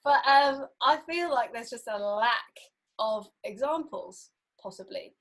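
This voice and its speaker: A woman's voice: on the high side, lively intonation, unhurried. A woman drummer chatting casually on a podcast, thinking aloud with many fillers.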